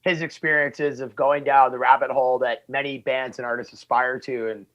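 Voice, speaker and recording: A man in a band, recalling a conversation thoughtfully in an interview, halting with restarts. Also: mid-range voice, flat delivery, fairly bright tone, muffled recording. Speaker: band member.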